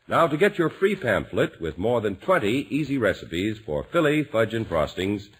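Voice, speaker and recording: A man announcing in scripted 1950s radio style, with emphatic, deliberate salesmanship. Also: on the low side, slightly rough.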